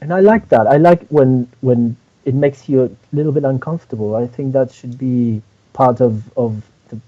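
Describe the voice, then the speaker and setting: A man's voice: medium pitch, normal speed, dull audio. A man from Spain, a musician talking as an interview guest, hesitant with many fillers and restarts. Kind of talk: casual interview chat.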